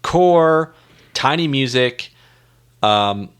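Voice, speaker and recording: A man co-hosting a casual music discussion, halting and repeating himself for emphasis. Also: medium pitch, animated, fairly bright tone, smooth. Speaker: music podcast co-host.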